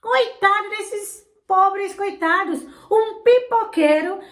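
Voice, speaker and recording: High-voiced woman, indignant commentator. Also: slow. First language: not Portuguese. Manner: indignant and sarcastic. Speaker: channel host, political commentator. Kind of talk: opinionated political commentary. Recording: roomy echo.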